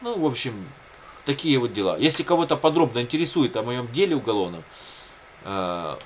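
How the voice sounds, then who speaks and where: A man's voice: medium pitch, very animated, brisk, slight background noise. A man talking casually and unscripted to his viewers, circling back over his points in a repetitive, colloquial way. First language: Russian. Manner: rambling, repetitive, with frequent colloquial catchphrases. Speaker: video blogger telling his own situation.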